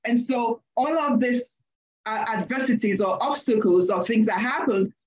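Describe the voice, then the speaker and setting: A woman's voice: a little high, normal speed, poor audio. A woman from Nigeria with a Nigerian accent, speaking as a panelist and telling a story from her work, going back over her words as she talks. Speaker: panelist, accounting leader. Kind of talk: panel discussion, personal story.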